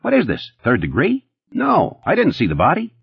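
A twitchy, boastful playboy, put on by a male narrator, his voice jumping up high and swinging all over as he rushes his words. The husky tone stays dry and close, with a slightly dull, muffled sound. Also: huge pitch swings, no echo, heavily acted. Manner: rapid, nervous, boastful, pitched high and pushy. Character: jittery fast-talking male nightclub playboy, sleazy.

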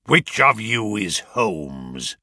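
A man's voice: lowish voice, very animated, drawn-out words, pushed and bright, no echo, clean studio sound, pitched below the performer's own voice, full character voice. Old brute of a villain played by a man: irate, threatening, snarly, very dynamic delivery for an audiobook. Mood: menacing. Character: furious, menacing, huge old male villain, a doctor.

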